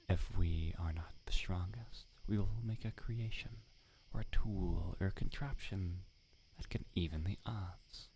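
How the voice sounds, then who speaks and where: Low, gravelly tsundere swordsmith played by a man. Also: darkish tone, a little hiss or hum, exaggerated voice. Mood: earnest, musing. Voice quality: breathy, gravelly, often half-whispered. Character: tsundere male swordsmith, prickly yet flustered, secretly smitten. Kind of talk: roleplay ASMR, soft intimate acting.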